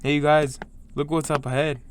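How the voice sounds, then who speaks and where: A man's voice: a little high, little pitch movement, moderate pace, a little hiss or hum, natural voice. A man playing an impatient, sarcastic young shooter in an audio drama, speaking casually.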